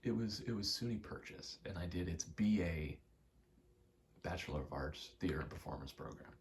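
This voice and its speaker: A man's voice: deep voice, lively intonation, normal speed, slight background noise. A young American man in his twenties rambles in a casual, candid ASMR chat, swearing now and then. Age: 20s. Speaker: ASMR rambler and aspiring actor.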